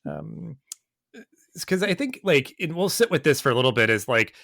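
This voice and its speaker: A man's voice: fairly high pitch, lively intonation, moderate pace, gravelly, fairly bright tone, dry recording, clean studio sound. A man hosting a podcast in a casual interview chat, rambling with lots of hesitant fillers. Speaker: podcast host.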